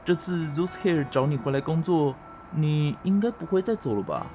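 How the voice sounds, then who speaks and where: An actor playing a gossipy, excitable young colleague in an audio drama. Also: male voice, fairly high pitch, normal speed, over music, lightly acted. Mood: chatty.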